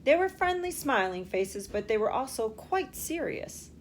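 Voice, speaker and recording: Female primary teacher, very lively intonation, children's read-aloud style, direct questions to young listeners, faint ambient noise. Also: a little high, a little slow. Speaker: third-grade teacher reading aloud.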